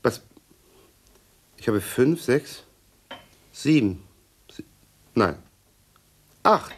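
A man's voice: mid-range voice, expressive, very slow, slight background noise. An actor playing a pedantic, self-satisfied middle-aged policeman husband in a radio play, fussy and blustering, repeating himself. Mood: fussy, flustered. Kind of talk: 1960s German radio play acting.

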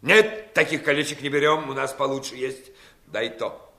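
An actor playing a menacing, mocking pirate lord in a theatrical fairy-tale radio play, wheedling and then threatening. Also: male voice, medium pitch, normal speed, sharp tone. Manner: wheedling turning to threats, swooping intonation.

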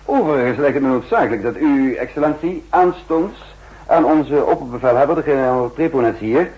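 An actor playing a shrewd, insistent counter-espionage chief in a 1960s radio drama, speaking formally and emphatically with a husky voice. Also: male voice, medium pitch, brisk, close to an everyday voice. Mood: urgent, confident. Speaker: narrator and main character. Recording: muffled old 1960s radio recording.

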